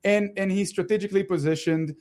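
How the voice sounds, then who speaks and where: Man, Israeli-Italian accent, Hebrew native speaker, radio co-host, animated, restarts often, slightly high voice. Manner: animated, talks fast with many restarts and laughs. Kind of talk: casual political chat.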